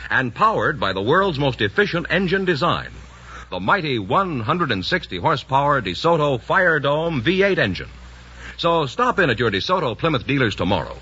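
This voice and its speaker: An American man delivers a 1950s radio sales pitch with emphatic polish. His voice is bright and very lively in pitch, on a dull old broadcast recording. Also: slightly rough. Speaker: radio announcer. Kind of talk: 1950s radio commercial announcing.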